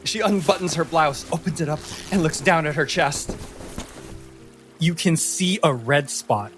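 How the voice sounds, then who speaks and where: Slow, dramatic male game master. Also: on the high side, a bit bright, soft background music. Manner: theatrical, deliberate. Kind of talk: dramatic narration of a tabletop fantasy game.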